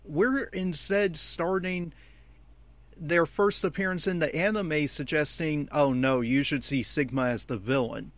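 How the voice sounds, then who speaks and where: A man's voice: on the high side, unhurried, fairly bright tone, dry recording. Opinionated man giving off-the-cuff commentary. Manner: opinionated, emphatic. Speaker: anime commentator. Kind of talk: off-the-cuff audio commentary on an anime episode.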